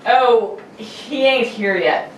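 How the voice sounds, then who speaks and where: A woman's voice: fairly high pitch, slow, recorded from a distance. A woman playing a casual, folksy stage doorman, colloquial and helpful, in a radio serial read-through. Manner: colloquial, offhand. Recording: echoey room, off-mic, faint noise.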